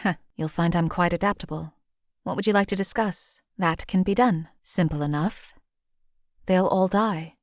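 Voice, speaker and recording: A woman's voice: on the low side, moderate pace, no room sound, natural voice. A woman playing a composed female assassin for hire in a video game, speaking in a dry, matter-of-fact way. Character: cool, lethal female assassin companion for hire. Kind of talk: video game companion voice lines.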